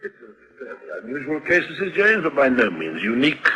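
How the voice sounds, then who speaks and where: An actor playing an avuncular, unhurried old family doctor in a radio drama, full of reassurance. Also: male voice, mid-range voice, wildly swooping pitch, bright, forceful tone, highs cut off. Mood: reassuring.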